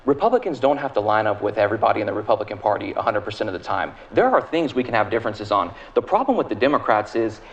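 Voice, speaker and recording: A man's voice: fairly low pitch, lively intonation, quick pace, few pauses, muffled recording. A man arguing as a guest in a heated TV political debate, insistent and talking over the others, repeating his words to hold the floor.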